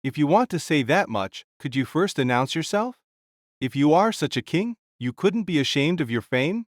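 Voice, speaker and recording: A man's voice: fairly high pitch, big pitch swings, moderate pace, dry recording, clean studio sound. A male narrator voicing a tolerant, jovial giant king who banters with a rival. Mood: bantering. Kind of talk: audio drama acting.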